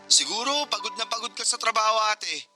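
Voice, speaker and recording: A woman's voice: a little high, expressive, brisk, pushed and bright, faint music behind. A woman playing a curious, caring younger sibling, still a student, in a Tagalog radio drama.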